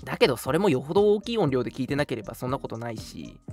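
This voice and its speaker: A young man hosts a relaxed-format radio chat. His pitch is average and he explains things conversationally at a moderate lively clip. Soft music plays behind him. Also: racing. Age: young adult. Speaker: radio show host from an audio drama studio. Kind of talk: casual chat on a talk radio show. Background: background music.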